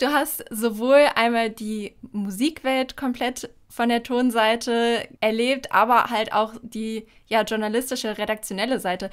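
A woman hosts a podcast interview and asks her guest friendly, informal questions. Her voice sits a little high for a woman. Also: smooth. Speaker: podcast host.

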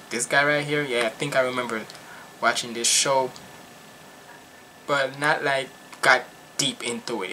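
A young man from Jamaica giving casual, animated and slangy commentary as he reacts to music at home. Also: mid-range voice, a little hiss or hum. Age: young adult. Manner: animated, slangy, emphatic, repetitive. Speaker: reaction video host. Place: small furnished room at home.

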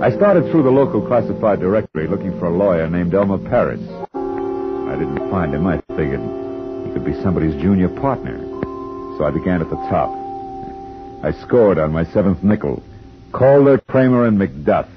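An actor playing a hard-boiled private detective who narrates with dry wisecracks, in a 1950 radio drama. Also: male voice, low-pitched. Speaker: narrator and lead. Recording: dull old radio recording with music.

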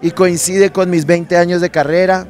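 Middle-aged man, merengue singer, lively and jokey, fairly high voice, handheld mic outdoors with music behind. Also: moderate pace. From Colombia. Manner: animated, jokey, laughs between phrases. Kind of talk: casual interview. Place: outdoor patio at an event.

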